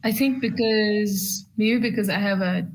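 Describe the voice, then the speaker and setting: Podcast guest: young woman from Bhutan, low voice, unhurried, faint background hiss. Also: low-quality recording. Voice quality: deeper voice than most female singers. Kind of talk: casual podcast chat.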